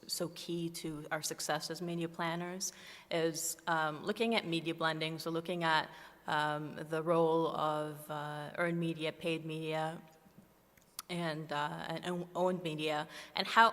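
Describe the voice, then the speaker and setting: Female conference speaker, low flat delivery, halting, in a reverberant hall. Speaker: media planner, conference speaker. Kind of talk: conference panel presentation. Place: large indoor conference room, on stage. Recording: echoey hall, long reverb.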